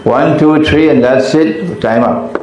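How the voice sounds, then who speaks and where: A man with a Trinidadian accent, an Islamic scholar answering audience questions in a deliberate, emphatic and sometimes testy way. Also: on the high side. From Trinidad and Tobago. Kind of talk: lecture question-and-answer session. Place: large echoey lecture hall. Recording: very echoey hall with faint noise.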